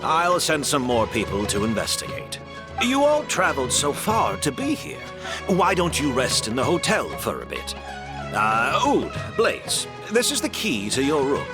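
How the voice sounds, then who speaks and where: A man's voice: mid-range voice, wildly swooping pitch, a little slow. An actor playing a kindly, humble older male perfumer and mentor in a video game, modest and thoughtful. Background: game background music.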